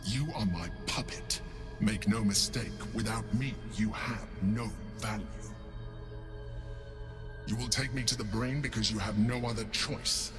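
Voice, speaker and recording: A low-voiced, domineering alien mentor played by a man from a video game. He is cold and blunt, giving measured orders and threats over clear background music. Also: natural voice. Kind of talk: dramatic video game acting.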